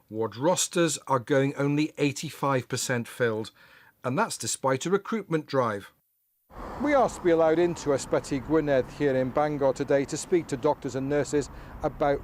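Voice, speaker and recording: A man's voice: fairly high pitch, no echo. A man working as a news reporter, delivering a scripted report.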